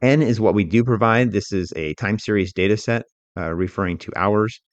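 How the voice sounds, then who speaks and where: A man giving an explanatory software tutorial as an instructor, talking through it step by step in a measured, unscripted way. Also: lowish voice, no echo, muffled recording.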